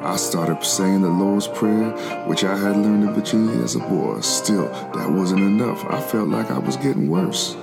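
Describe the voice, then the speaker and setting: A man's voice: lowish voice, lively intonation, measured pace, gravelly, hardly any pauses, loud music behind the voice, exaggerated voice. A man playing a devout, formerly enslaved preacher of the 1700s, telling his spiritual struggle in solemn, reflective first-person narration. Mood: searching, solemn. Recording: loud musical backing.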